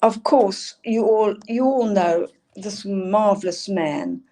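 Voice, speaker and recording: Older South African woman, emphatic presenter, warm low-brightness tone. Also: slow pace. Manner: earnest, emphatic, asks for next slides. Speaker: school leadership speaker. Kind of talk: presenting slides in a talk.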